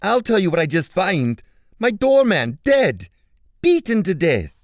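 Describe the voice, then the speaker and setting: A man voicing a panicky, indignant informant who snaps and stammers in a very high voice. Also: big pitch swings, moderate pace, darkish tone, no room sound, clean studio sound. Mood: agitated. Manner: high-pitched, snapping, stammering.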